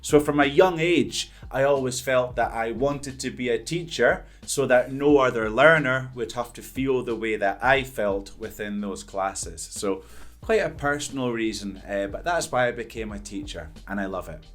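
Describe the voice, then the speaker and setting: A man's voice: mid-range voice, normal speed, fairly bright tone, soft background music. A Scottish man in his thirties, an English teacher, talking to camera at a studio mic in an upbeat, earnest, emphatic way. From Scotland. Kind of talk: direct-to-camera talk answering questions. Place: indoors before a green-screen backdrop. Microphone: studio condenser mic in front.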